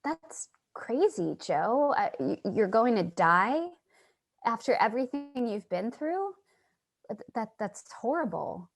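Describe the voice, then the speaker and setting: Woman acting a slow, creaky-voiced troubled loner. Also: a little high, big pitch swings, exaggerated voice. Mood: shocked, distressed. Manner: hesitant, halting, dramatic. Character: troubled, earnest loner woman Charlie, would-be writer. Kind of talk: staged play reading with monologue.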